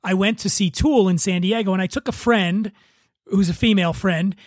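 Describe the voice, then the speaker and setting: A man with a notably high voice hosts a podcast in casual, conversational style. The sound is dry and close, and his talk is loose and informal. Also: normal speed, dry recording. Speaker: podcast host.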